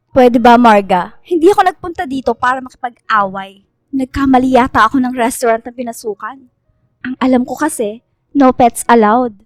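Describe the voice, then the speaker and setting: Woman acting a high-voiced, feisty young provincial. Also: moderate pace, no echo, natural voice. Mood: sarcastic. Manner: sarcastic, indignant. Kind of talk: radio drama acting.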